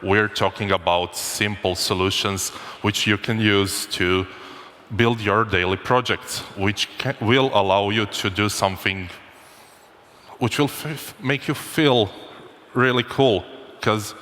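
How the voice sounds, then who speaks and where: A man from Croatia gives a loose, joking conference talk, often restarting his sentences. His voice sits fairly low but sounds bright and forceful. The sound carries a long reverb from the conference hall. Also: unhurried. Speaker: conference speaker.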